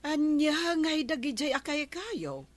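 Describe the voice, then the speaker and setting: A woman's voice: high voice, very animated, slow, no echo, full character voice. A woman playing a nagging, skeptical village wife with an Ilocano accent in a comedic radio drama. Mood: scolding, questioning. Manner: nagging, questioning. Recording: faint noise and ambience.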